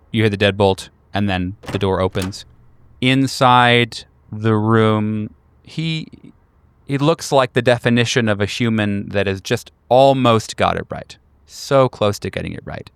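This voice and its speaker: Deep, smooth-voiced male game master. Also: no room sound. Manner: measured, descriptive storytelling. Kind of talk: narrating a tabletop horror game.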